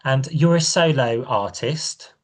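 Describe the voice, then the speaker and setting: A man's voice: medium pitch, slow pace. A man hosting a podcast interview, asking loose questions with plenty of fillers. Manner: relaxed questioning with many fillers. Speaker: podcast host.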